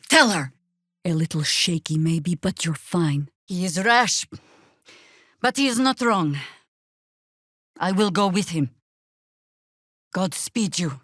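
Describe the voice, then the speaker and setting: A woman playing a stern, wry older female druid warrior in a video game, speaking in a dry, measured, sardonic way. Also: on the low side, dry recording, heavily acted. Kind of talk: scripted video game character lines.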